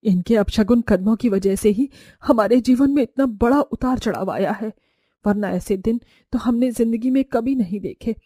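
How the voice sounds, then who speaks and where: A woman's voice: on the high side, moderate pace, mellow, breathy edge, no room sound, studio quality. A woman voicing a bitter, reproachful middle-aged mother-in-law who blames others in a cartoon story. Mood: accusing.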